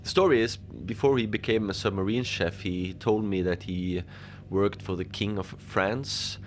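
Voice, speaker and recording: A man playing a lonely, gentle Antarctic facility manager, rambling hesitantly and apologetically in a cozy fiction podcast monologue. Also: fairly low pitch, unhurried, a little hiss or hum. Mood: admiring storytelling. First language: Norwegian. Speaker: podcast narrator.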